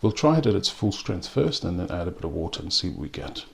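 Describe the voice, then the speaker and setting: South african guy doing a chill rum review, lowish voice, lots of ums. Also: sing-song, vocal fry. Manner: unhurried, with frequent ums and restarts. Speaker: spirits reviewer. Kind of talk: casual spoken tasting review.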